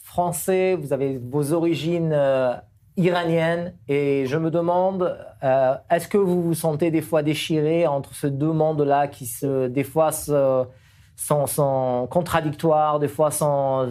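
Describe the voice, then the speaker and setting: A man's voice: a little high, fairly even intonation, a little slow, mellow. A man, a city councillor on a TV debate panel, asking a question hesitantly with many fillers. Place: TV studio.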